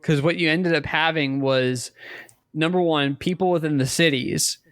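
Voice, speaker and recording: A man co-hosting a podcast, chatting casually about video games in a halting way, often repeating and rephrasing. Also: a little high, a bit bright, clean tone, no room sound. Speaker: podcast co-host.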